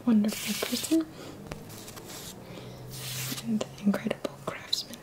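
Asmr healer lady whispering real slow n soft, soothing guided affirmations, breathy, right up on the mic. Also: fairly high pitch. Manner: gentle, slow, deliberate phrasing with pauses. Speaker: ASMR energy healing practitioner. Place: small dim indoor room. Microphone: close mic, seated near the camera.

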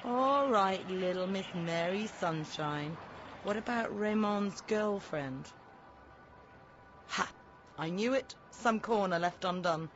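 An actress playing a sarcastic, dramatic supernatural case officer in a radio drama, with a theatrical manner. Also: female voice, medium pitch, animated, slow. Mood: theatrical, triumphant. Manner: theatrical, sardonic. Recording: dull radio drama sound, faint music.

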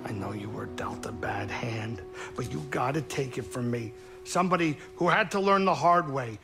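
An actor playing a caring older male alien pilot with a gruff, folksy, streetwise way of talking, in a video game cutscene. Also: medium pitch, normal speed, over loud music, close to an everyday voice. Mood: earnest warning.

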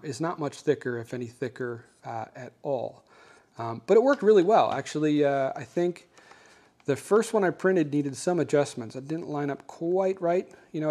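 A man's voice: medium pitch, a little mellow. A man hosting a hobby making show, explaining things in a casual, conversational, unscripted way. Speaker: host of a 3D printing show. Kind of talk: casual hands-on explainer of a homemade design.

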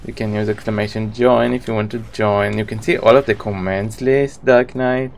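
A man's voice: on the low side, steady tone, moderate pace, gravelly. A man in his thirties with an Israeli accent, a native Hebrew speaker, chatting casually with viewers as he live-streams a video game.

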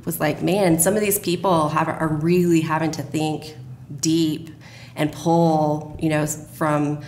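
A woman's voice: deep voice, little pitch movement, measured pace, a little mellow. A woman speaking as a guest in a conversational podcast interview about her work. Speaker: podcast guest, radio drama producer. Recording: roomy echo, faint noise.

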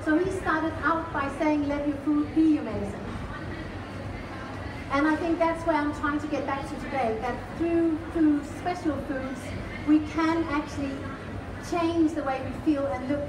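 A middle-aged woman with a high voice gives a chatty, emphatic health talk on an exhibition stage. She is heard from some distance in a large echoey hall, with clear background noise behind her. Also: a little slow. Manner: chatty, emphatic, with fillers and restarts. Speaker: health speaker presenting to an audience. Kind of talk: live expo talk.

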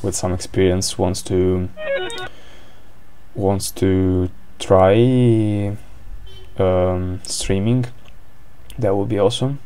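A man's voice: sits low, fairly even intonation, drawn-out words, pauses often, dark tone, a little hiss or hum. A man with an Italian accent commentating a board game live, hesitant, with many fillers and restarts.

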